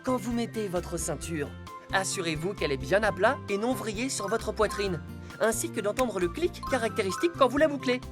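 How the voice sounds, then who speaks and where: A woman's voice: medium pitch, lively intonation, fairly quick, lightly acted. A woman voicing a responsible, caring rescue car hero in a dubbed children's cartoon, instructive and encouraging. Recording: music behind the voice.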